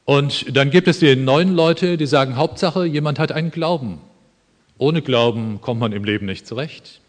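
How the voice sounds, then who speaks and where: A man's voice: mid-range voice, normal speed. A man preaching a sermon in an earnest, emphatic way, with dry asides. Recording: long hall reverb.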